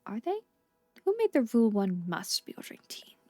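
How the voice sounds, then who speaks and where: A woman voicing a gentle, unhurried male tea shop keeper from a video game, soft-spoken and politely formal in quaint phrasing. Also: medium pitch, big pitch swings, no room sound, soft background music. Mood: gently chiding. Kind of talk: video game dialogue read aloud.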